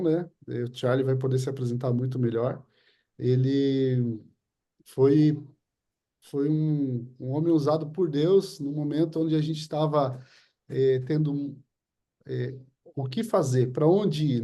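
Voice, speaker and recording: Um homem com sotaque brasileiro e voz muito escura, um pastor que apresenta um convidado e conduz uma oração. Ele fala de forma hesitante, com muitas pausas e muitos "né" e "é". O tom é informal e próximo. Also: male voice, fairly high pitch, warm tone. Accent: Brazilian Portuguese. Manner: halting, with many fillers and restarts. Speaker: pastor hosting a church leaders' meeting. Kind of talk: informal introduction and spoken prayer.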